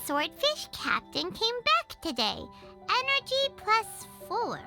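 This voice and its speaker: A woman voicing a tiny, childlike fairy companion in a video game, squeaky, excitable and eager to help. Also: slow pace, over loud music, heavily acted. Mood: amazed, curious. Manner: excitable, speaks of self in third person.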